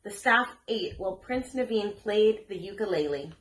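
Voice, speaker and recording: A woman reading a children's story aloud as an expressive storyteller. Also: medium pitch, normal speed, a little hiss or hum. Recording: echoey room.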